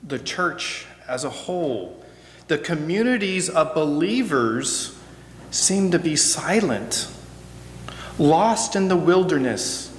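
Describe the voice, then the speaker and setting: Slow, echoey American man preaching. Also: on the high side, expressive. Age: middle-aged. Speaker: guest preacher. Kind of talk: reflective sermon. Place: church sanctuary, at a pulpit. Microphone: gooseneck pulpit microphone. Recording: echoey hall, noticeable hum.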